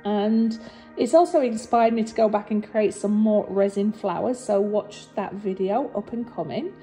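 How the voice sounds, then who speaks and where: A woman with a slightly husky voice, low on energy after surgery, chatting through a voice-over for her resin craft video with fillers and restarts. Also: normal speed, warm tone, faint music behind, low-quality recording. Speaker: resin artist and channel host.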